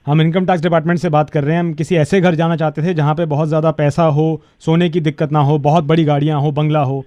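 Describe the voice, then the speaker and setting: Businesslike, brazen tax official for comedy prank, played by a man: slightly raised pitch, dry recording. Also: level tone, fast, mellow, dry recording, lightly acted. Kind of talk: comedy phone prank skit.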